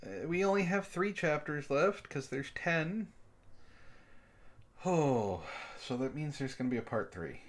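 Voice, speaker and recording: A man's voice: a little high, expressive, a little slow, long pauses. A man reading a story aloud and bantering casually, with an exasperated, profane, mocking manner. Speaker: book club host and reader.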